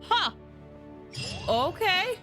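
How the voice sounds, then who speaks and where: A woman live-streaming a game, giving casual, chatty commentary and reacting out loud. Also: very high voice, big pitch swings, drawn-out words, soft background music.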